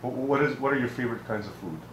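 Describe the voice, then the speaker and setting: A man's voice: mid-range voice, quick pace. A man interviewing an elder for an oral history, probing with frequent restarts and backchannels. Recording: echoey room with faint hiss.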